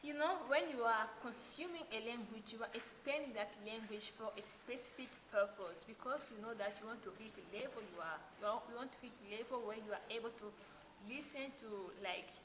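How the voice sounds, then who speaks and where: A woman's voice: fairly high pitch, a little fast, a bit bright. A roomy-sounding Kiswahili-speaking woman vlogger. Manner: earnest, explains by restating points over and over. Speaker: language-learning vlogger. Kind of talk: casual talk to camera. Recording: roomy echo with clear background noise.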